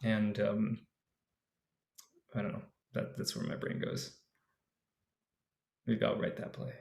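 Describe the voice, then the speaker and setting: A man talking as a guest on a panel, thinking aloud in a rambling way, full of fillers, and trailing off softly at the ends of thoughts. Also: on the low side, monotone, takes it slowly, gravelly. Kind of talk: casual panel discussion. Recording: echoey room sound.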